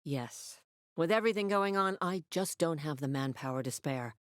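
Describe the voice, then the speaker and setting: Tough female army officer for a video game: lowish voice, curt, authoritative, dry close sound. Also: lively intonation, moderate pace, dry recording. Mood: guarded, businesslike. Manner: clipped, authoritative, no-nonsense. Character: tough, commanding female military officer, curt and foul-mouthed.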